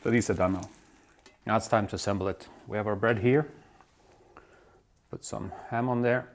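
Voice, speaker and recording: A man from Belgium hosting his own cooking show, walking through a recipe in a relaxed, step-by-step way in a home kitchen. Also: on the low side, normal speed. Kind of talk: casual cooking demonstration.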